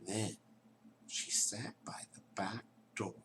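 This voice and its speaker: A man reading a children's story aloud in a theatrical way, dropping into hushed tones and chatting to his young listeners. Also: on the low side, fairly even intonation, slow, a little hiss or hum.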